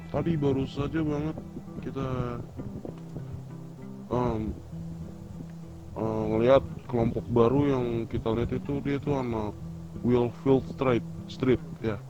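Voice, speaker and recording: Mid pitched guy, kinda unsure, casual gangster rp on voice chat, music playing behind. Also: slow pace, long pauses, dull audio. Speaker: player in a gangster roleplay. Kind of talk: casual in-character roleplay talk.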